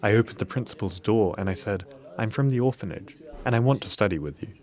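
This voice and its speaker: A man's voice: lowish voice, fast talker, darkish tone. A man voicing a translation of an interviewee's first-person story, in a sincere read.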